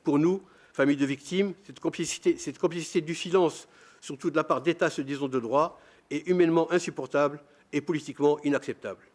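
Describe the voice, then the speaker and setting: An older man, a round-table panelist, reading a prepared formal statement in a measured, grave way, stumbling now and then on a word. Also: fairly high pitch, a little mellow.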